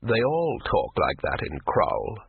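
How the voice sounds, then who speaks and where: A man voicing a gentle, melancholy sea troll who speaks slowly and heavily. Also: on the low side, wildly swooping pitch, creaky, full character voice. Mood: sorrowful. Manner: slow, weighty, melancholy delivery. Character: slow, gentle, sorrowful male sea troll. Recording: tinny phone-like audio with faint hiss.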